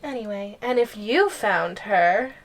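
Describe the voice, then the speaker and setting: A woman's voice: medium pitch, animated. A woman playing an obsessive giantess girlfriend in an ASMR audio roleplay, coy and hesitant, with playful, sweet menace. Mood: teasing menace. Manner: slow, coy, hesitant phrasing with teasing menace.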